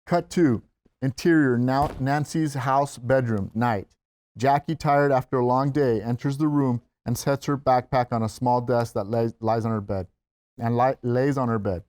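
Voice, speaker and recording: A man narrates a script at a table read, reading out the stage directions. His pitch sits in the middle range, and the sound is dry and close. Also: steady tone, normal speed, darkish tone, dry recording.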